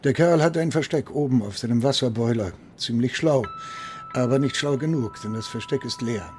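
A methodical detective in a radio play acted by a man, dark and mellow at a middle pitch, with faint background ambience. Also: normal speed, a little hiss or hum, close to an everyday voice. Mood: matter-of-fact. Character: sober, methodical male police investigator. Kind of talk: German radio drama acting.

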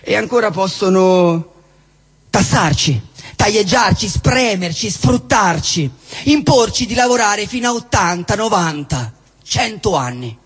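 A man giving a theatrical spoken-word political declamation on stage, emphatic and built in measured lists. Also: sits high, breathy edge. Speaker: stage performer at a live show. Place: indoor cinema-theatre venue with long reverb. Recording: reverberant hall, live show with music.

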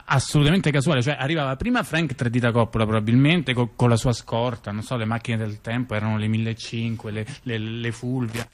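A man's voice: mid-range voice, fairly even intonation, fairly quick, few pauses. A man telling a long story in a theatrical radio dialogue, chatty and digressive, full of asides and fillers. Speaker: theater performer.

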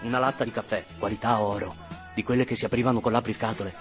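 A man's voice: fairly low pitch, steady tone, fast talker, lightly acted. A male actor plays a man reliving his childhood in a radio monologue. He tells it in short, repeated, vivid fragments, as if it were happening now. Faint music runs underneath. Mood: tense, vivid. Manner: fragmented, repetitive, vivid present-tense storytelling. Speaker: actor and narrator. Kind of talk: dramatic first-person radio monologue.

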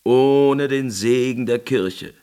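A man's voice: medium pitch, slow pace, dark tone, smooth, close to an everyday voice. An actor playing a pompous, anxious army captain who moralizes and dwells on his words in a theatrical radio drama. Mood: condescending, preachy.

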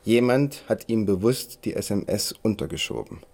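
An actor playing a dry, smug, relentless police detective in a German radio crime drama, with an accusing, wry, deliberate delivery. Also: male voice, lowish voice, darkish tone, natural voice. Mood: cool, accusing.

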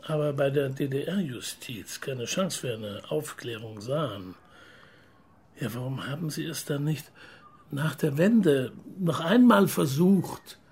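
An actor in a radio drama playing a persistent, composed male investigative journalist, measured and questioning, with a raspy voice. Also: on the high side, darkish tone, a little hiss or hum, heavily acted. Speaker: interviewing journalist. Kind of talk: German radio crime drama acting, interviewer.

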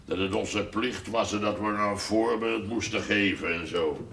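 A man's voice: fairly low pitch, unhurried, vocal fry, fairly bright tone. An actor playing a cynical, sarcastic middle-aged village father who grumbles in naturalistic television drama dialogue. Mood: bitter sarcasm. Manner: sardonic, grumbling. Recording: roomy, distant mic, faint noise.

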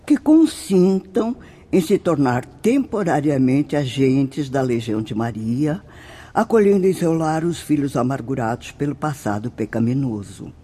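A man's voice: mid-range voice, warm tone, husky. An actor with a Brazilian accent playing a solemn, fatherly spirit mentor who lectures formally and emphatically in a radio drama. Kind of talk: radio drama acting, didactic.

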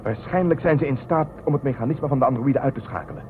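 Actor as a fast, urgent male commander. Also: mid-range voice, narrow, tinny audio, lightly acted. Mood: urgent, worried. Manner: urgent, clipped orders. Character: anxious, protective male commander watching helplessly. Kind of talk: radio play acting. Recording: phone-thin radio drama audio, clear noise.

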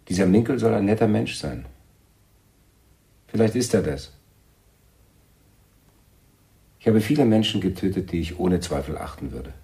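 An actor in a German radio drama playing a brooding, fervent Palestinian bomb-maker who speaks in earnest, emphatic statements. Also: male voice, sits low, gravelly, warm tone, exaggerated voice. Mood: calm conviction.

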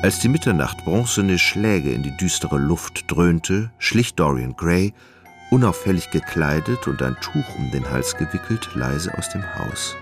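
A man narrating a literary radio drama in a measured, dramatic storytelling manner, in German studio style. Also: deep voice, lively intonation. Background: plucked guitar music.

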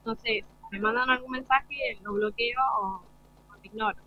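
A woman's voice: fairly high pitch, fairly even intonation, normal speed. A teenage girl with an Argentine accent, answering casually in an interview as a student. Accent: Argentine Spanish. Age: teenager, final school years. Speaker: student podcaster being interviewed. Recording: dull lo-fi live broadcast sound.

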